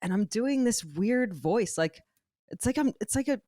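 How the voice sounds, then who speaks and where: A woman's voice: fairly high pitch, expressive, moderate pace, mellow, dry recording. Self-mocking woman co-host, dry sound. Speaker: podcast co-host. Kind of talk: casual chat.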